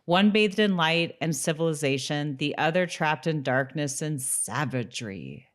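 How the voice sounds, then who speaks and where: A woman's voice: low-pitched, animated, a little slow. A woman co-hosting a podcast, chatting casually and recapping an episode. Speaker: podcast co-host.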